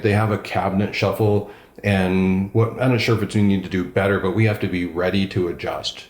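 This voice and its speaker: Man, low pitch, emphatic, passionate, roomy echo. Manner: enthusiastic, emphatic, self-described loud voice. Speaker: regional councillor. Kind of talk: conversational interview answers.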